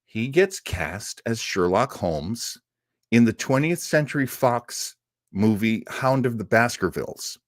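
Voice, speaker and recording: A man's voice: mid-range voice, lively intonation, takes it slowly, dry recording, studio quality. A man hosting an informal, unscripted show on film history, rambling along as an enthusiastic storyteller. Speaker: host of an old radio and film show.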